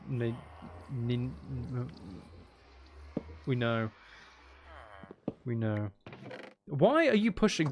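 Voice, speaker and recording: Casual male game streamer with creaky voice. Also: mid-range voice, a little hiss or hum. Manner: playful, teasing banter with chat. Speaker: streamer hosting a live gaming stream. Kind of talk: casual gaming livestream chat.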